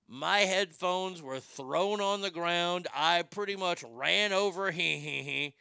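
Brash high-voiced man in a dry booth. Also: gravelly, clear, resonant tone, dry recording. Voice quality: high tenor voice. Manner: crude, brash, animated storytelling with profanity. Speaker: radio show host. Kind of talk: casual storytelling monologue.

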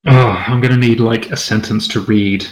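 A man's voice: on the low side, steady tone, moderate pace, slight background noise, poor audio. A man chatting casually as an interview guest, rambling and self-deprecating.

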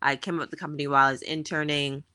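Woman from the bahamas, 30s, low voice but really bright and forceful, chatty interview guest, dry close sound. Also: steady tone, racing, no room sound. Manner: chatty, upbeat, with frequent "um" and "like". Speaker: guest, fashion tech founder. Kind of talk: casual interview conversation.

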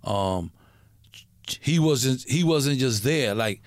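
A middle-aged man from Puerto Rico, a guest in a casual interview, who talks in a halting way, repeating words and using street slang. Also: medium pitch, animated, no echo, clean studio sound.

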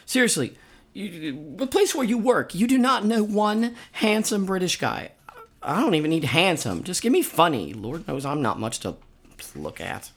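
A woman acts a defensive, self-doubting rock singer in a comedic radio play. Her hoarse voice swings widely in pitch as she stumbles and repeats herself, putting herself down with wry humor. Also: very animated, heavily acted. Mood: exasperated, wry. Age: middle-aged. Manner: halting, repeats words, self-deprecating.

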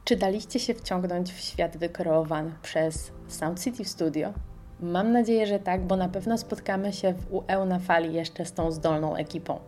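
A woman's voice: fairly low pitch, steady tone, mellow, soft background music. A woman presenting a student radio show, signing off in an upbeat way. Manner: upbeat, quick sign-off.

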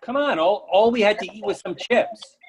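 A man's voice: sits high, lively intonation, moderate pace, breathy edge. A man acting an eager, oblivious friend with an insistent, earnest manner in a readers theatre comedy. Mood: coaxing. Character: eager, oblivious male friend pushing food, stubbornly cheerful.

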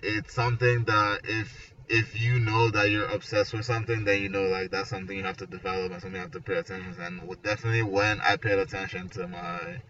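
Male, twenties, lowish bright voice, informal and conversational, for a relaxed peer discussion. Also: fast talker, hardly any pauses. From Nigeria. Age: 20s. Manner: conversational, peppered with "like" and "you know". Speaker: architecture graduate discussing his background and creative work. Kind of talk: casual conversation. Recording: distant mic, muffled, noisy ambience.

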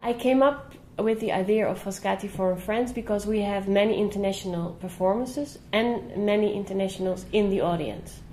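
A woman who organizes a theater program, explaining her idea in a steady interview. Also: mid-range voice, moderate pace, few pauses, reverberant, background noise.

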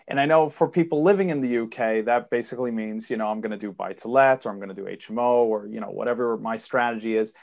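A man's voice: medium pitch, fairly even intonation, quick pace, vocal fry, hardly any pauses, a little mellow. Swedish-American man explaining investing. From Sweden. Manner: fluent, explanatory, with fillers. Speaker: guest investor. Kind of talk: podcast interview.

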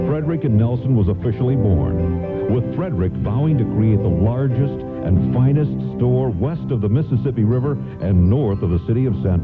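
A male announcer reads scripted radio narration with very varied intonation. The sound is narrow and tinny, like an old broadcast, with loud music behind him. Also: lowish voice. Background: prominent music.

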